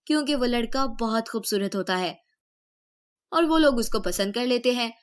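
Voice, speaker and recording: A woman narrating a moral story in an even, storytelling delivery. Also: fairly high pitch, normal speed, clear, resonant tone.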